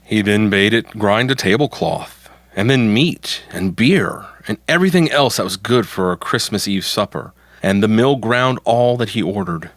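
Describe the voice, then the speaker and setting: A man's voice: fairly low pitch, animated, moderate pace, a bit bright, no echo. A man narrating a folktale from a script as the storyteller.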